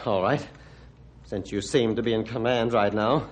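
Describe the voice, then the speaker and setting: An actor playing a bitter, guilt-ridden hermit who was once a surgeon, gruff and theatrical in old-time radio style. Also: male voice, lowish voice, steady tone, moderate pace, natural voice. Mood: defiant.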